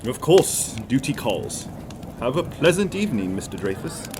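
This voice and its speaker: A man's voice: medium pitch, very animated, moderate pace, noisy background, close to an everyday voice. A man playing an amoral, self-justifying innkeeper in an audio drama, with a theatrical, articulate, formal and wry delivery. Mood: polite. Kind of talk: dramatic first-person monologue narration in an audio drama.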